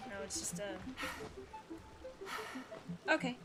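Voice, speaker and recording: A woman's voice: medium pitch, lively intonation, slow pace, poor audio. A woman doing casual live game commentary, exclaiming and talking to herself as she plays. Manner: exclamatory, talks to herself while playing. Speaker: game streamer, host. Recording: game music behind the voice. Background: video game music.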